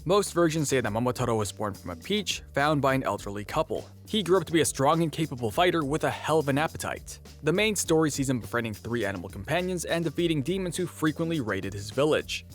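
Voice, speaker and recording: A man's voice: mid-range voice, lively intonation, quick pace, few pauses, faint music behind. A man, a native Cantonese speaker, narrating a scripted video essay in a wry, self-deprecating way.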